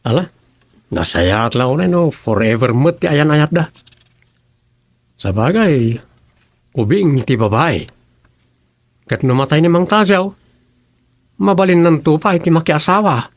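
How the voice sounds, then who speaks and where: A man's voice: a little high, expressive, unhurried, pauses often, no room sound. A man playing a bossy, talkative townsman with a scolding, gossipy manner in a radio comedy-drama.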